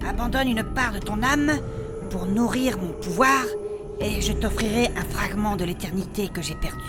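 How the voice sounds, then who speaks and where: A woman playing a sensible, inquisitive young adventurer in a comedic fantasy audio drama, with a brisk, practical manner. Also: medium pitch, lightly acted. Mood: solemn reading. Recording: music behind the voice.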